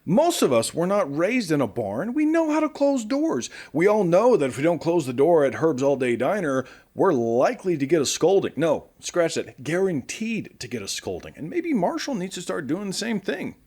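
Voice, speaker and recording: Guy doing a chatty nervous radio show host, audio drama, highish voice, really animated, trips over words a little. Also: moderate pace, few pauses. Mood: upbeat, chatty. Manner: chatty, stammering. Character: nervous, friendly male radio show host after the apocalypse.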